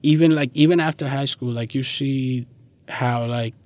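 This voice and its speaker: A young adult man from Guatemala talks in a casual interview. His phrasing is loose and slangy, full of little conversational fillers. The sound is dry, with hardly any room on it, and his pitch sits in a middle male range. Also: fairly even intonation, normal speed, darkish tone, dry recording. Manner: informal, slangy, peppered with "like" and "you know".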